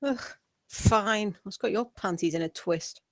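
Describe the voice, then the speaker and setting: A woman's voice: mid-range voice, unhurried, no echo, natural voice. A woman playing a sullen, sardonic spaceship crew member who grumbles and sneers at a comedy table read. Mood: sullen, sneering. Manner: sneering, weary complaint.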